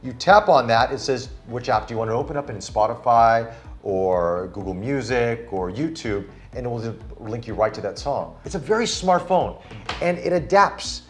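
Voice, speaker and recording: A man's voice: medium pitch, animated, moderate pace, soft background music. Enthusiastic male tech reviewer. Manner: enthusiastic, hands-on demonstrating. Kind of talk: casual product review chat. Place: Singapore studio.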